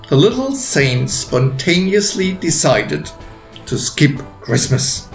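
A man narrating a written story in a deliberate, expressive way with pauses, as an author reading his own work. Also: high-pitched. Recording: roomy echo, faint music behind.

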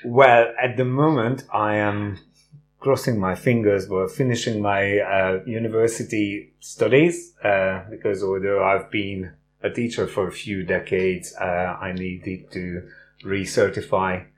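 Middle-aged Hungarian-raised man, low voice. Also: level tone, a little slow, slight background noise. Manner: warm storytelling with frequent "uh" fillers. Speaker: guest, runner and teacher. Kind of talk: casual podcast interview.

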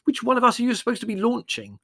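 A man's voice: mid-range voice, animated, brisk, slightly rough. A man voicing an irritable, sarcastic and patronising grown brother, peevish and unctuous, in a story reading. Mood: irritated.

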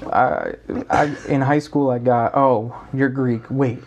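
A man's voice: medium pitch, steady tone, slow pace, darkish tone, lo-fi sound, a little hiss or hum. A young man co-hosting a podcast, chatting casually in a rambling way, with many restarts and slang. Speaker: podcast co-host. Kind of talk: casual chat.

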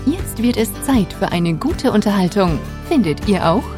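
A woman announces a podcast intro from a script, her pitch bouncing in an animated way. Her upbeat delivery sits over a music bed that's clearly audible. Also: moderate pace.

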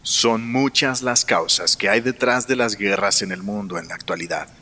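A man's voice: lowish voice, normal speed, sharp tone. A man playing a solemn narrator, delivering scripted dramatic narration in an audio drama. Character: solemn male narrator musing on war and conspiracies. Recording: long hall reverb.

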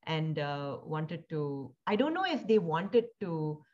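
A woman born in India and raised in Singapore, speaking thoughtfully as an interview guest, with frequent pauses, fillers and restarts. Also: low-pitched, sing-song, darkish tone, highs cut off. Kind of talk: reflective conversational interview.

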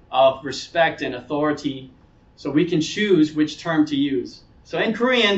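A high-pitched male pastor delivers an earnest, explanatory sermon in a conversational way. He restates key phrases to drive them home. The sound is slightly dull and reverberant, picked up in a roomy space. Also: far from the mic. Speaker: pastor preaching.

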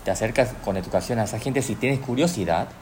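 A woman's voice: very deep voice, quick pace, a little mellow. A woman chatting casually as a podcast co-host. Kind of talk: casual chat. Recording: clear background ambient noise.

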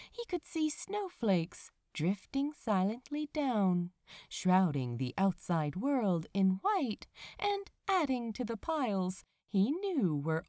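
A woman narrates fiction aloud in an expressive audiobook style. Her pitch rises and falls dramatically across phrases, and she reads at a slow, measured pace. The sound is dry, with hardly any room. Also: dry recording. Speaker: podfic reader, narrator.

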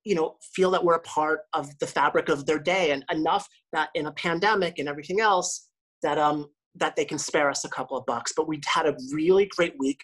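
Husky-voiced woman radio host chatting. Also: sits low, brisk, a bit bright, poor audio. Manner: chatty, lots of restarts. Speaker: radio host, podcast guest. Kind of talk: casual interview talk.

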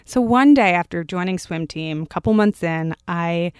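A woman in her thirties from California, a podcast guest telling stories about her upbringing in a casual, animated way. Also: on the low side, normal speed, clean tone, no room sound, studio quality. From Palo Alto, California. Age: 30s. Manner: animated storyteller, acts out quotes. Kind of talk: casual interview storytelling.

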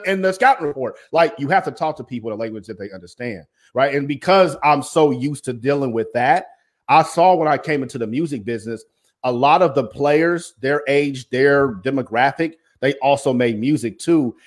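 A man with a deep voice, a former basketball coach, speaking bluntly and emphatically as an interview guest. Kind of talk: interview conversation.

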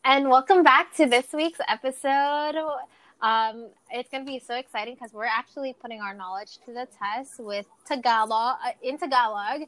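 A woman's voice: a little high, moderate pace, a bit bright, dry recording. A woman co-hosting a casual chat and running a quiz game, talking in a rambling way with many fillers and restarts, over a remote call. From Canada. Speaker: co-host. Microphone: remote call mic.

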